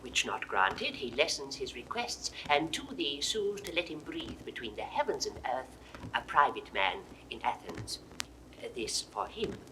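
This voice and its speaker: An actor playing a humble schoolmaster sent as an envoy, speaking in a formal, deferential way in a 1960s studio Shakespeare recording. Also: male voice, mid-range voice, very animated, a little slow, sharp tone, a little hiss or hum, low-quality recording.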